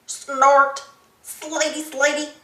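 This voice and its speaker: A woman's voice: high-pitched, flat delivery, extremely slow, sharp tone, reverberant, exaggerated voice. A woman voicing a giggly toddler boy pig who snorts and squeals in a storybook.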